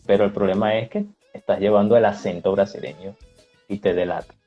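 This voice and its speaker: A man's voice: fairly low pitch, steady tone, brisk. A man, a teacher hosting a live stream who gives practical advice to learners. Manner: advising, reads viewer comments aloud. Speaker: host, Spanish teacher. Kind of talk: casual chat on a live stream. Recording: dull lo-fi stream audio, faint music.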